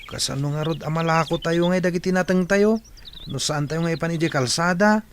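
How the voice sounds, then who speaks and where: A man's voice: a little high, fairly quick, close to an everyday voice. Radio comedy: hard-working, chiding young farmer male performer, Ilocano accent, slight noise with chirping birds. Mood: questioning. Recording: noisy, birds chirping behind.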